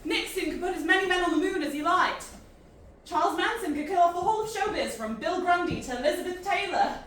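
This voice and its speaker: A woman's voice: extremely high pitch, expressive, fast, a bit bright, distant mic. An actress playing an outspoken, irreverent young woman in a science fiction audio drama, blunt, exasperated and full of questions. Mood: bitter, wry. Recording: very echoey, off-mic, faint noise.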